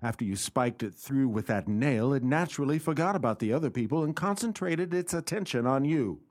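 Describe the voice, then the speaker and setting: Radio drama, man playing loyal manservant companion, reassuring, theatrical, mid-pitched and very animated. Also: brisk, close to an everyday voice. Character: loyal, practical gentleman's companion, steady and reassuring. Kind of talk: radio play acting.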